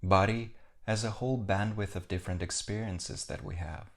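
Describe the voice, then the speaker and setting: A man with a low voice guides a meditation. He speaks calmly and in a measured way, setting out short phrases with pauses between them, as a meditation teacher does.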